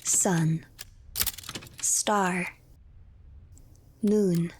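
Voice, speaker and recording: A woman narrating a game's story in a measured, scripted storytelling manner. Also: on the low side, fairly even intonation, darkish tone, slight background noise. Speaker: streamer narrator. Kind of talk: scripted storybook-like narration of a game, third person.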